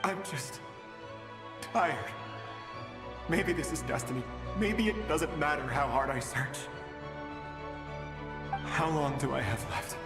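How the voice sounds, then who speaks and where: A man playing a weary, doomed father from an ancient age in a dramatic game scene, speaking in a halting, resigned, reflective way. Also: a little high. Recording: echoey hall reverb, music behind. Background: music.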